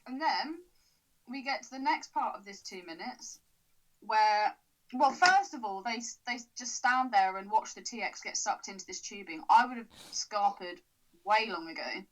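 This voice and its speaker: A woman co-hosting a podcast in casual chat, sarcastic and quick to swear, laughing often. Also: medium pitch, expressive, poor audio. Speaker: podcast co-host.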